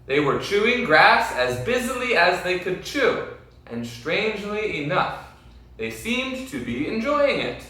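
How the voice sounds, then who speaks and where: A man reads a children's story aloud with slow, deliberate, dramatic phrasing. His bright voice rises and falls a great deal. The recording is very echoey, as if from across a room. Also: sits high, big pitch swings. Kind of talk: expressive children's story reading. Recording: very echoey room, distant mic.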